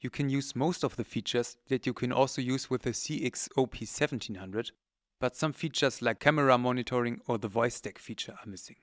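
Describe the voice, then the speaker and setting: A man's voice: mid-range voice, fairly quick, a bit bright, no echo, studio quality. A man presenting technical product training in a methodical, matter-of-fact, instructional way. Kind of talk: instructional presentation, scripted narration.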